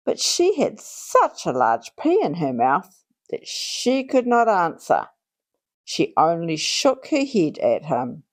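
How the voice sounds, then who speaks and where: A woman reading a children's storybook aloud in an expressive, deliberate way, drawing out her phrases for young listeners. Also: low-pitched. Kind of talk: read-aloud storybook narration.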